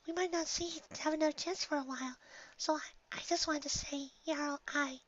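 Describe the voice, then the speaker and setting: A storyteller voicing a shy, gentle young pegasus mare, soft, breathy and hesitant, with nervous stammers. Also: high voice, fairly even intonation, brisk, no room sound, lo-fi sound, pitched above the performer's own voice.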